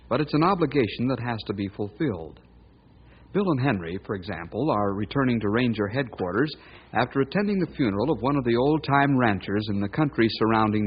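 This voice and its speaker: An American actor playing a dramatic radio announcer and storyteller, declaiming scripted western adventure narration in a grandly theatrical manner. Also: male voice, lowish voice, husky. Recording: muffled, noisy old radio recording.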